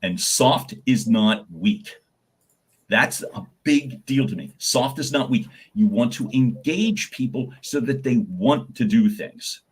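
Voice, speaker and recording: A man with a slightly husky, breathy voice gives advice in a live question and answer session. He talks with animated energy and repeats words as he gets each thought going. He has a naturally loud voice. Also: medium pitch, slow pace. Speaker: guest voice coach.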